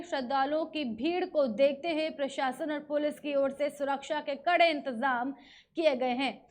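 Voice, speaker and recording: A woman with an Indian accent presenting scripted news stories as a narrator. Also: high-pitched.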